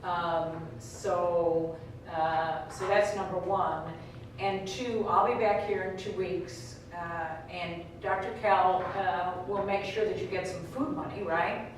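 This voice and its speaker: A woman with a somewhat low voice, briefing a group about arrangements. She talks hesitantly, with a lot of fillers and restarts. The recording is far off in a very echoey room with clear background noise. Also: fairly even intonation, slow. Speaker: program organizer. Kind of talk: informal briefing.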